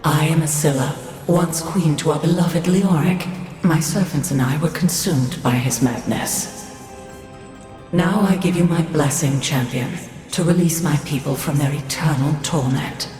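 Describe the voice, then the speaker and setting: A woman's voice: low voice, unhurried, darkish tone. An actress playing the sorrowful, regal ghost of a queen with a solemn, formal delivery. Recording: echoey game audio with faint music.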